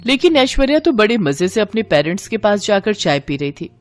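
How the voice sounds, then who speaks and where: A woman's voice: medium pitch, big pitch swings, brisk, no echo. Woman narrating a story over music. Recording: music behind the voice.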